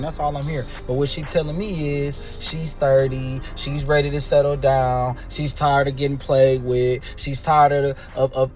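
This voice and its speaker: A man in his twenties telling a dating story in a crude, animated rant, dropping to low mutters and rising to loud outbursts. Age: 20s. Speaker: dating commentator.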